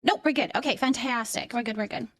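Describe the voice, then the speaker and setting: A woman's voice: on the high side, quick pace, fairly bright tone, no room sound. A woman livestreamer chatting casually with her viewers, effusive and affectionate, often repeating words and short phrases as she thanks them. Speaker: live streamer hosting a chat.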